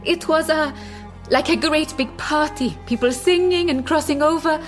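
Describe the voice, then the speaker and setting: A woman's voice: sits high, normal speed, faint music behind, natural voice. An actress playing a grieving, defiant East German mother in middle age, in a radio drama.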